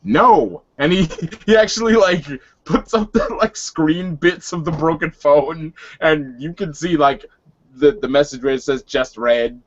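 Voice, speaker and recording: A man's voice: fairly high pitch, measured pace, dull audio. A man improvising narration as a player in a tabletop roleplaying game.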